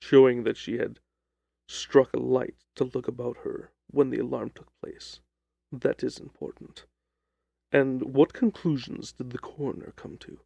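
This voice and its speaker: A man's voice: medium pitch, steady tone, a little slow, vocal fry, mellow, husky, no room sound, pitched below the performer's own voice, full character voice. A man playing a keen, probing detective, questioning with clipped authority in an audiobook drama. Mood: probing, measured.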